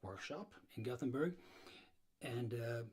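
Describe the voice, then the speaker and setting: A man's voice: medium pitch, animated, a little slow, a little mellow. A middle-aged man from Serbia, hosting a blues guitar livestream in a casual, rambling way with many fillers and restarts.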